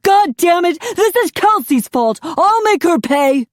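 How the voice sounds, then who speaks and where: A woman's voice: very high-pitched, lively intonation, a little slow, dry recording, studio quality, pitched above the performer's own voice. A woman voicing a violent, enraged husband who roars threats. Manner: bellowing, roaring threats.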